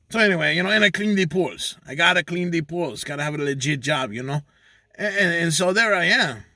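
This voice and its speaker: A man's voice: fairly high pitch, pushed and bright, no echo, studio quality, full character voice. A man doing a boastful hustler, Sanchez. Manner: crude, rambling, refers to himself in third person. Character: boastful male Mexican donkey handler Sanchez. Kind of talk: comedic character monologue.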